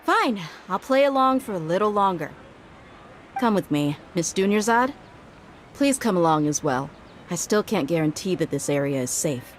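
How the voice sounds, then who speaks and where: A woman's voice: on the low side, sing-song, moderate pace, a little hiss or hum. A woman voicing a proud, skeptical young swordswoman and bodyguard in a video game, assertive and casual. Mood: firm, explanatory. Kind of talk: video game dialogue acting.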